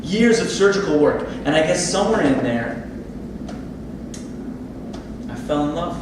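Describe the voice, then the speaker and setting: A man's voice: a little high, animated, a little slow. A man playing a smug, ambitious plastic surgeon on stage, boastful and self-assured in a rhetorical, salesman-like delivery. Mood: proud, intense. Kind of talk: theatrical monologue at a staged play reading. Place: indoor theatre space, distant microphone. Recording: echoey, very noisy room from far off.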